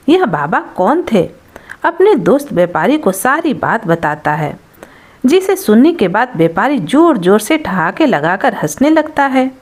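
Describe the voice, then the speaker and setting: A woman's voice: on the high side, darkish tone. A woman narrating a scripted folktale as a storyteller.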